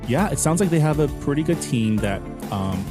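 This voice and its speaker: A man's voice: fairly high pitch, lively intonation, brisk, music in the background. A man hosting a podcast in a casual, conversational style. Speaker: podcast host.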